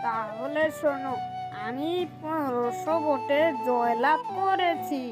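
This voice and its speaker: A man's voice: extremely high pitch, slow pace, loud music behind the voice. A man voicing a male bird village leader in a cartoon, reassuring and familiar. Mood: happy. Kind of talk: fairy-tale cartoon dialogue.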